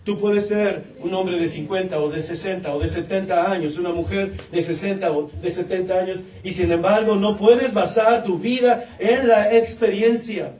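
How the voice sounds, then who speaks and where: High-voiced preacher in an echoey hall. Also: male voice, steady tone, normal speed, few pauses, darkish tone. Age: 40s. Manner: repetitive preaching cadence, addresses congregation as brothers. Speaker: pastor. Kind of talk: sermon. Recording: echoey, distant, dull church recording.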